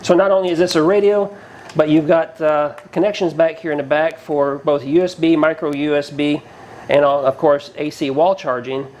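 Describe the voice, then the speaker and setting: A man's voice: a little high, steady tone, normal speed, a little mellow. A man giving a casual, plainspoken product review to camera, explaining features as he goes, recorded inside a garage. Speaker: product reviewer, channel host. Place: inside a cinder-block garage.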